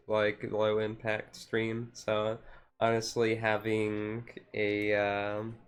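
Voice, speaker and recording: Low-key man streamer, even and unhurried. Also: smooth. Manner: rambling, halting. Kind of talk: casual chill livestream chat.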